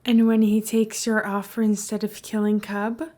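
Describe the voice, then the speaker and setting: A woman's voice: mid-range voice, little pitch movement, moderate pace, mellow, lightly acted. A woman reading the part of an ordinary, skeptical man in an audiobook, nervous and hesitant in manner. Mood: nervous, uneasy. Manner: nervous, stammering, questioning.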